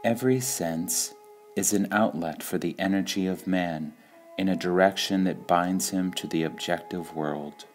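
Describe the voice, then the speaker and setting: A man reading spiritual sayings aloud in a calm, measured way, pausing between phrases. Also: sits low, soft background music. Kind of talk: slow meditative reading of quotations.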